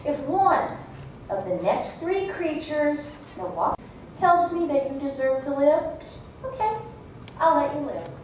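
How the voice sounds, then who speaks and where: A woman's voice: high-pitched, expressive, a little slow, a little mellow. A woman storyteller voicing a treacherous, wheedling snake who mocks as readily as it coaxes, in a folktale. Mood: taunting. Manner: wheedling, mocking. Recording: echoey room, distant mic, faint noise.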